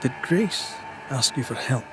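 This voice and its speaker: An actor playing a shrewd, persistent investigator of hauntings in a video game cutscene, probing and wry. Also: male voice, mid-range voice, animated, a little slow, close to an everyday voice, low-quality recording.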